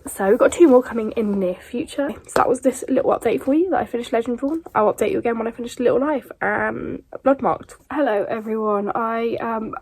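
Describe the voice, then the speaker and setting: A woman's voice: a little high, darkish tone, slightly rough. A young woman chatting enthusiastically about books in a casual vlog. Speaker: book vlogger.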